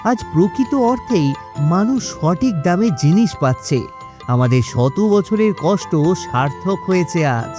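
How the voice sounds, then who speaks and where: Man acting a brave, high-voiced young village leader. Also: lively intonation, measured pace, music in the background. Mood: hopeful. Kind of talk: cartoon acting.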